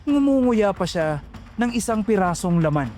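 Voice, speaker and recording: A man's voice: sits high, animated, moderate pace, a little mellow, slight background noise. A man narrating a first-person horror story in a dramatic, suspenseful manner.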